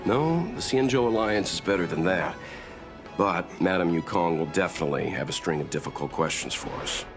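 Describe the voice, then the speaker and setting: Deep, gravelly, prudent mentor. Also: male voice, lively intonation. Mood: cautioning. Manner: measured, reasoned, reassuring. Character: calm, prudent middle-aged mentor, guiding younger companions. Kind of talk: video game voice acting. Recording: music behind the voice.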